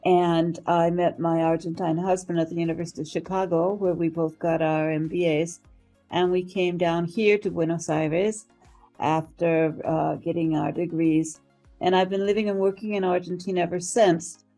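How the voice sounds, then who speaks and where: An older woman with an American accent, answering interview questions in an articulate, measured way, pausing now and then to find words. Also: lowish voice, fairly even intonation, dark tone, faint music behind. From United States. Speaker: interview guest, marketing professional and professor. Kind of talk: interview answers, career account.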